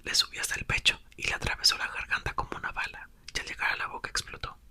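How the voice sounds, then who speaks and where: A young man, host of his channel, whispering a book aloud and chatting softly to viewers. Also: fast, no room sound. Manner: soft, whispered throughout. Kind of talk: ASMR reading and chat.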